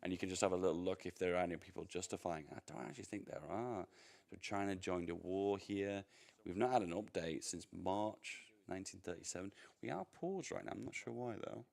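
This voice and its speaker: Gravelly Yorkshire man commentating. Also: low-pitched, fairly quick, a little mellow. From Yorkshire, England. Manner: chatty, rambling, laughs easily. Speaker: livestream commentator. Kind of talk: casual live game commentary.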